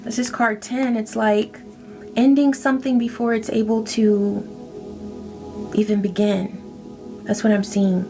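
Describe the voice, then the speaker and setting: A woman's voice: fairly high pitch, fairly even intonation, slow, pauses often, music in the background. A woman giving a casual spiritual tarot reading, reassuring and often checking in with "okay?". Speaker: tarot reader.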